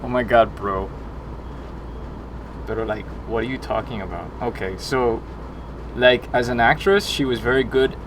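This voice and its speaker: A man's voice: mid-range voice, unhurried, pauses often, background noise, lightly acted. A man reading a passage aloud in a put-on Miami accent, drawling and full of "like" and "bro.". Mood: gushing. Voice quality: drawling, filler-heavy "like" and "bro" talk. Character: exaggerated Miami-accented speaker, gushing, slangy.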